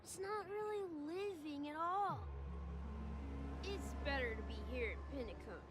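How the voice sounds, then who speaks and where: A woman's voice: high voice, lively intonation. A woman voicing a drunk, dreamy, childlike small man in a video game, with slurred and rambling speech. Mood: dreamy, blissful. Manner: slurred, tipsy, drawn-out rambling. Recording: music playing over the voice.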